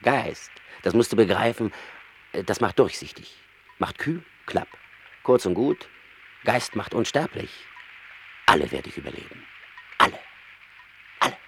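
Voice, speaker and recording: Very deep-voiced boastful wandering rat. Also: male voice, sing-song, unhurried, vocal fry, pauses often, a bit bright, exaggerated voice. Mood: grandiose. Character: boastful, world-weary old male wandering rat storyteller. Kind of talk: German radio play acting. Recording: noisy old radio drama recording.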